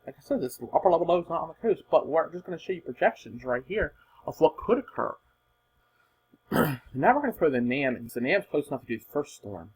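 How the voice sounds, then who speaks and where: A man giving informal, unscripted weather forecast commentary in an emphatic, husky voice, repeating points for stress. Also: a little high, very animated, brisk, darkish tone, poor audio.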